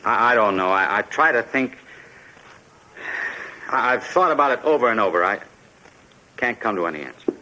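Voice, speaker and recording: An actor playing a despairing, volatile forger in a halting, agitated radio drama style. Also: male voice, fairly low pitch, lively intonation, sharp tone. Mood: troubled, confused. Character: despairing, volatile forger cornered at home with his dogs. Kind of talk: 1950s radio drama acting. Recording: noisy old 1950s radio recording.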